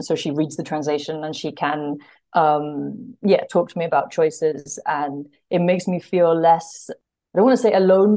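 A woman's voice: low voice, warm tone. A woman speaking conversationally as an interview guest, earnest and thoughtful, often searching for the right words. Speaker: podcast guest, literary translator.

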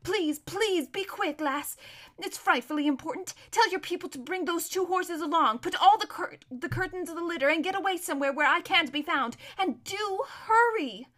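Storybook role: serious, imperious young noble girl on the run, read by a woman. High, sharp, pressing delivery. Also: lively intonation, normal speed, exaggerated voice. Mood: furious, urgent. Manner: forceful hissing, imperious, earnest. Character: proud, urgent runaway noble girl, serious and bossy.

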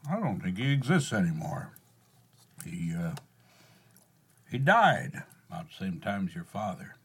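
An actor playing a stern middle-aged farmer uncle in a radio drama, curt and dismissive. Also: male voice, sits low, sing-song, unhurried, husky, exaggerated voice. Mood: dismissive, guarded.

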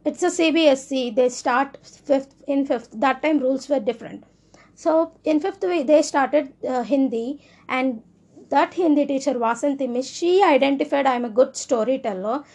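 High-voiced Indian woman, earnest and rambling. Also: moderate pace, creaky, a little mellow. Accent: Indian English. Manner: earnest, rambling, with frequent restarts. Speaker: speaker sharing personal views. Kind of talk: casual monologue.

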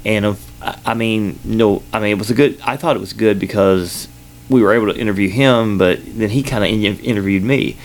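Southern man, podcast host, low gravelly voice, rambling and filler-heavy, studio mic. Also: brisk. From Kentucky, United States. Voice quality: loud voice at times. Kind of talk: casual solo podcast update. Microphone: sensitive studio microphone.

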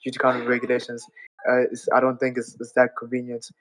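A man talks in a steady, flat tone, earnestly walking through his points one at a time. His voice sounds dry and close, with little room around it, and sits in a middle register. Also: mid-range voice, fast, no echo, low-quality recording. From Zimbabwe. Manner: earnest, explaining step by step. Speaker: audience speaker. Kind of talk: casual live audio chat.

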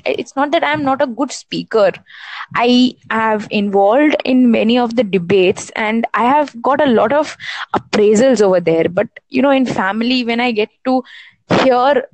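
A woman's voice: on the high side, no echo, low-quality recording. Chatty young woman from India. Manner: rambling, with many restarts and "you know". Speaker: app caller talking about overthinking. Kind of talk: casual voice chat. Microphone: phone app.

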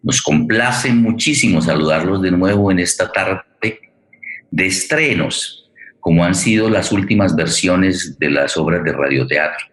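A man, speaking formally and haltingly as he welcomes listeners and introduces an online radio theatre programme. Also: low-pitched, lively intonation, fairly bright tone. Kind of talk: spoken introduction to a live online forum. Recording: roomy, echoing sound.

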